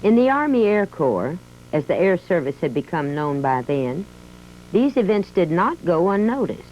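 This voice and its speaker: Woman doing a chatty old army biplane, folksy american storyteller, lowish voice. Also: very animated, normal speed, darkish tone, slight background noise. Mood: reminiscing. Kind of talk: scripted museum narration. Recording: dull lo-fi audio with faint music.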